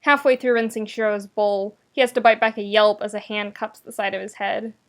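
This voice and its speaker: A woman reading a story aloud as narrator, with expressive, wry delivery and dramatic emphasis. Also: medium pitch, fairly quick. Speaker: podfic narrator. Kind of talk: expressive scripted fiction narration.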